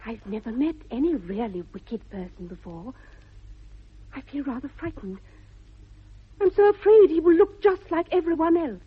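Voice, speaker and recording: A woman's voice: high voice, lively intonation, moderate pace, pauses often. An actress playing a sly, artless young upper-class English girl in a precise, demure 1960s radio comedy. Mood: excited, nervous. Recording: muffled, band-limited old radio broadcast.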